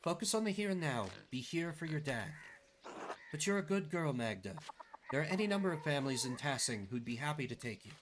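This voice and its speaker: A man's voice: a little high, animated, fairly quick, voice pushed up, natural voice. A man voicing a kindly, concerned villager in game dialogue, solicitous and a little hesitant. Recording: clearly noisy background.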